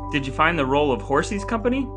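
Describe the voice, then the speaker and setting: A man's voice: on the high side, big pitch swings, fast talker, music in the background. A man hosting a podcast in a playfully mock-grand, wordy way, with casual comic banter and some read-out passages. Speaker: podcast host. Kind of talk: casual comic banter, partly dramatic reading of texts.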